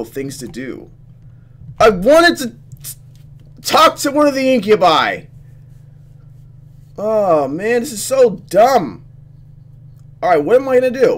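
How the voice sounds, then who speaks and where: A man playing a visual novel, reading the text aloud and thinking out loud expressively as he goes. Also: high-pitched. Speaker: let's player reacting to a visual novel. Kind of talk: gaming commentary mixed with reading game text aloud.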